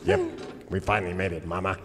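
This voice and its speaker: An actor playing a drawling, patronizing frontier patriarch and huckster in radio comedy. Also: male voice, sits low, fairly even intonation, fast talker, slight background noise, close to an everyday voice. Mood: homespun. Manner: drawling, homespun. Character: folksy, patronizing old-West family patriarch and huckster. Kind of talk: old-time radio comedy sketch. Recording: reverberant stage hall.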